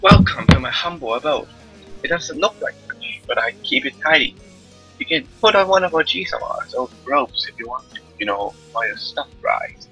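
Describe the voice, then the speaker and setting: A mischievous, carefree young village girl, played by a man, teasing in a visual novel. The voice is very bright and forceful, with light game music behind it. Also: medium pitch, lively intonation, normal speed, poor audio. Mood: welcoming. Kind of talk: live visual novel read-aloud.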